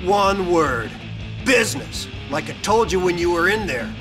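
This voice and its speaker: An actor playing a tough, blunt biker in a video game, with a casual, defiant manner. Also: male voice, high-pitched, animated, a little slow, over music. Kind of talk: video game cutscene acting.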